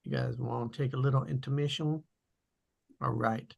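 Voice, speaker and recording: Male narrator for table read: gravelly fry, raspy tone, scripted stage directions. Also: medium pitch, fairly even intonation, normal speed, a little mellow, highs cut off.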